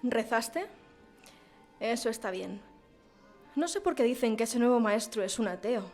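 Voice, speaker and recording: A woman's voice: on the high side, animated, unhurried, a little mellow, faint music behind. A woman playing a pious, plain-spoken village mother in a radio drama, matter-of-fact and sure of herself. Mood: pious, scolding.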